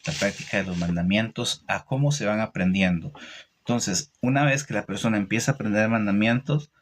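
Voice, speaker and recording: A man with a Costa Rican Spanish accent giving an informal, didactic religious talk, opinionated, pausing and repeating phrases as he goes. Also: lowish voice, a little hiss or hum. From Costa Rica. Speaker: religious teacher hosting a livestream. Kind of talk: informal religious talk answering live comments.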